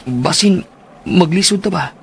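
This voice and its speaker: An actor with a Cebuano Filipino accent playing a hesitant, evasive suitor in a radio drama. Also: male voice, sits high, animated, a little slow, vocal fry, slight background noise, exaggerated voice. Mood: worried. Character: Berto, hesitant, evasive suitor worried about money.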